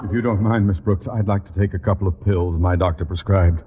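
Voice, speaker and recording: An actor playing a nervous, self-pitying middle-aged school principal, stammering and blustering in 1950s radio comedy style. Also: male voice, low voice, steady tone, brisk, lightly acted. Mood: rattled. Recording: very noisy, dull old radio recording.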